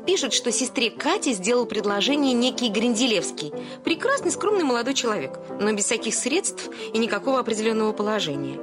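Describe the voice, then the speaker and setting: A high, chattering coquettish young wife. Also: female voice, big pitch swings, fairly quick, over music. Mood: gushing. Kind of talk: theatrical radio comedy acting.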